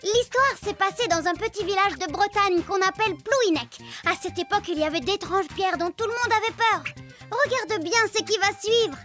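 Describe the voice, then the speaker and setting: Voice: very high voice, normal speed. A performer voicing a chatty, mischievous little girl who tells stories straight to the listener in a children's cartoon, with music behind. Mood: storytelling. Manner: exclamatory, playful, addresses the listener directly. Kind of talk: children's cartoon storytelling to the viewer.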